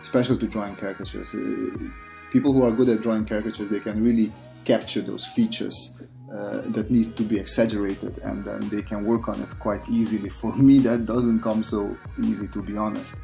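Gravelly, low-voiced man, relaxed. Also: moderate pace, soft background music. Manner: relaxed, self-deprecating, many fillers and restarts. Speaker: artist and channel host. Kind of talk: casual voice-over commentary on a drawing process.

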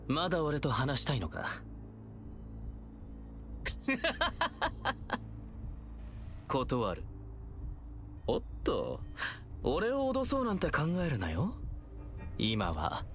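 An actor playing a taunting, playful and menacing young male villain in a video game, with a theatrical, teasing, drawn-out delivery. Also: medium pitch, fairly bright tone, exaggerated voice. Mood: teasing, smug.

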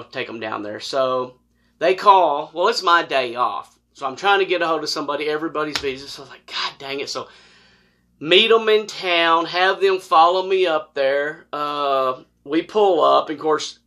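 A middle-aged man with an American accent telling a personal story casually, in a folksy, animated way and acting out dialogue. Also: a little high. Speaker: storyteller, former law enforcement officer. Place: small wood-paneled room indoors, seated on a couch.

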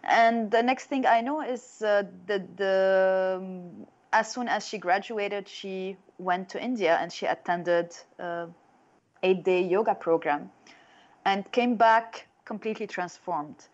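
A woman's voice: medium pitch, takes it slowly, lo-fi sound. A woman in her thirties from Lebanon, with a Lebanese accent, telling her own story as an interview guest. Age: 30s.

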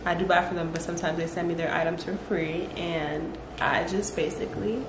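A woman's voice: on the low side, steady tone, brisk. Young woman in an echoey, noisy room. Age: 20s. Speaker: vlogger, salon client. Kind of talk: casual chat. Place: small room indoors. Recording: roomy echo, clearly noisy room.

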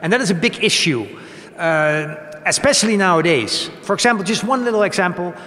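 A Dutch-accented man in his forties speaks into a handheld mic on a small gallery stage, with a rather high voice for a man. He works his way through ideas with hesitations and tag questions. The room is echoey, with a long reverb tail. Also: a little fast, bright, forceful tone. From Netherlands. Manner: explains with many hesitations and tag questions, gestures a lot. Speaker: guest. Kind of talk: onstage talk show conversation.

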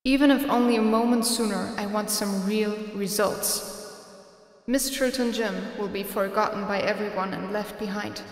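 A woman's voice: medium pitch, steady tone, normal speed, close to an everyday voice. A woman voicing a proud, determined young gym leader in a manga dub, serious and dramatic. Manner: earnest, dramatic. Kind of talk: anime-style manga dub. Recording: echoey hall reverb under loud music.

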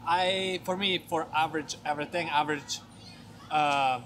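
A man, giving blunt, expressive food verdicts in slightly broken English. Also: a little high, normal speed, slight background noise. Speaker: vlog co-host. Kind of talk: casual food review chat. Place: city streets at night. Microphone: handheld camera at arm's length.

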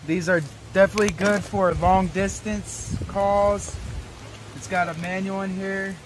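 A man's voice: sits high, little pitch movement, unhurried. A man giving a casual product demonstration as he unboxes, recorded outdoors from a step or two away. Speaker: product reviewer. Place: outdoors, wooded yard. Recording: faint outdoor background noise.

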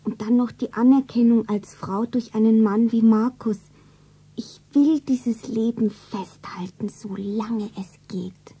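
A woman playing a dreamy, rapturous young shopgirl in love in a stylised 1970s radio play, breathy and slow. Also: on the high side, fairly even intonation, dark tone, exaggerated voice. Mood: rapturous, hushed. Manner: breathy, slow, self-narrating. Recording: dull vintage radio-play recording.

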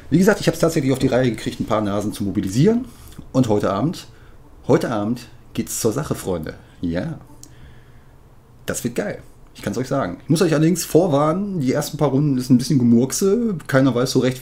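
A man in his thirties hosting a casual gaming video from a home desk, animated and jokey, swearing when flustered. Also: mid-range voice. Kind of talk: casual gaming chat with friends.

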